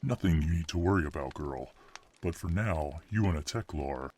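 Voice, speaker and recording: A man playing a grave, courteous senior official in a fantasy audio drama, with a husky voice and a formal, guarded manner. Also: rumbling low, lively intonation, normal speed, darkish tone, a little hiss or hum.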